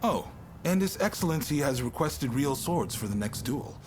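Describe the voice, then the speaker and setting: An actor playing a breezy, teasing, self-important shogunate official in a video game, with a playful, theatrical delivery. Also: male voice, medium pitch, animated, moderate pace, background noise, lightly acted. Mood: smug. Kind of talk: video game cutscene acting. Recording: loud music behind the voice. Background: music.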